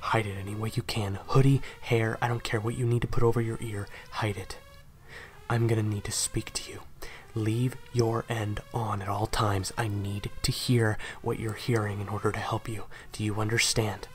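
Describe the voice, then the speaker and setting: Assassin for audio roleplay male actor: low register, guilt-ridden, protective, halting, whisper-prone. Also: little pitch movement, close to an everyday voice. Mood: urgent, protective. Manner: halting, repeating himself, often dropping to urgent whispers. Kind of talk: audio roleplay drama addressed to the listener.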